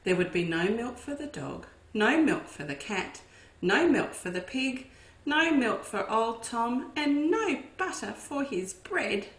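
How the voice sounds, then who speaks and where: Slow, expressive storytime reader. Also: female voice, on the high side. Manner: expressive, with asides to young listeners. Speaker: children's educator. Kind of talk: storytime read-aloud. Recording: echoey room.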